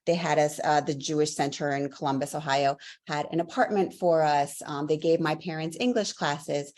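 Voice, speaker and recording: A woman's voice: low voice, steady tone, normal speed, darkish tone. A woman professor answering interview questions, telling her story fluently with a few fillers. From Latvia. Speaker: guest, professor.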